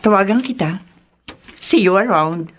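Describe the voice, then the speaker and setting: A woman's voice: mid-range voice, animated, unhurried, slight background noise, lightly acted. A woman with a Filipino accent acting a well-off, emotional middle-aged mother in a Tagalog radio drama. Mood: excited, polished.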